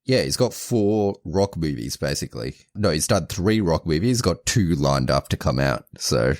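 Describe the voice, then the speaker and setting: A man with an extremely low, deep voice chats casually on a podcast, stumbling through a plot summary with constant restarts and self-corrections. The sound is dry and close, with no room around it. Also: expressive, moderate pace, dry recording. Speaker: podcast co-host. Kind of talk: casual chat, retelling a movie plot.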